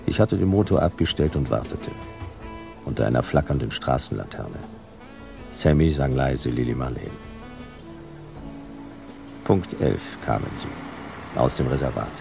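A laconic private eye in a radio drama, with clear music behind him. His voice is extremely deep and gravelly. He talks in clipped, deadpan phrases. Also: full character voice. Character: laconic, hardboiled private detective narrating his case. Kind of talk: hardboiled radio drama narration.

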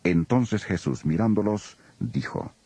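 A man narrating a dramatized scripture reading as an expressive storyteller. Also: low voice, unhurried, breathy edge, dry recording.

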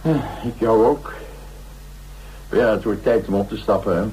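An actor playing a gruff but caring male companion with a Dutch accent, speaking hesitantly and affectionately in a naturalistic radio drama. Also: on the low side, normal speed, a little mellow, slight background noise, close to an everyday voice, poor audio. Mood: tender.

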